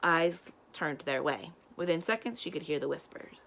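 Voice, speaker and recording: A woman reading a children's novel aloud as a storyteller, expressive and giving the characters their own lines. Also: deep voice, normal speed, gravelly.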